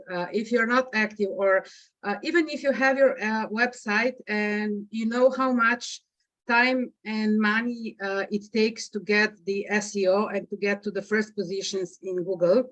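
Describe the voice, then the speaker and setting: A woman's voice: medium pitch, a little slow, fairly bright tone. Slovenian woman with hesitant fillers. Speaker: guest LinkedIn coach. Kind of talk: webinar presentation. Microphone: video call. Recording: muffled video call audio.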